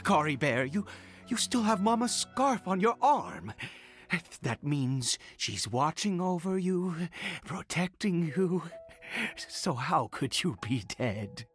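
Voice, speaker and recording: A fanatical father in a dramatic video game scene. His delivery is slow and theatrical, breaking into pauses and then swelling with emphasis. The pitch ranges widely, and faint music sits behind the voice. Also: big pitch swings. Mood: tender, anxious. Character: fanatical, unhinged father devoted to a mysterious god. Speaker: voice actor. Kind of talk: dramatic game dialogue acting.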